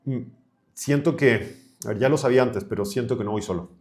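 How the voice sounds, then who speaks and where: A man's voice: mid-range voice, fairly quick, darkish tone. A man of thirty-five from Chile, a priest talking colloquially as a podcast guest. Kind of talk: conversational podcast interview.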